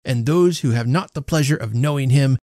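A man voicing a self-important, stentorian host who speaks haltingly and pompously in a storybook reading. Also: mid-range voice, a little mellow, no room sound, studio quality, natural voice. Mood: pompous, floundering. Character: self-important, stammering middle-class host making a pompous toast. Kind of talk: storybook character voice.

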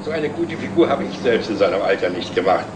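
A man's voice: fairly low pitch, expressive, fast, natural voice. A man playing a wryly mocking company director in a scripted television drama. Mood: wry, amused. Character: wryly mocking male company director, sardonic and self-ironic. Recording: roomy, distant, noisy 1960s TV soundtrack.

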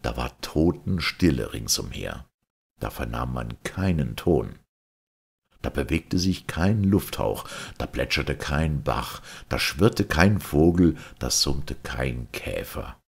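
A man with an extremely deep, husky voice reads a literary story as an audiobook narrator. His delivery is deliberate and dramatic, with lively melodic swings.

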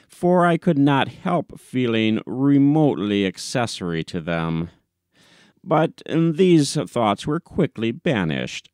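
A man's voice: on the low side, dry recording, clean studio sound. A man narrating a classic humorous short story as an audiobook reader, in a scripted storytelling style.

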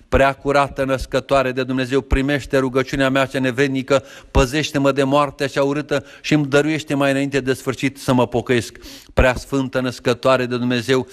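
A man reading a long Orthodox penitential prayer aloud in a steady, even recitation. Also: medium pitch.

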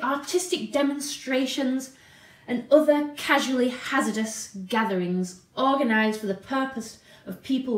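A woman voicing an eccentric, vain, old lady in a theatrical, deliberate dramatic story reading. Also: sits high, far from the mic. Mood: wistful, dramatic. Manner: theatrical, deliberate, drawn-out delivery. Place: indoor room at home. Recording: roomy home sound.

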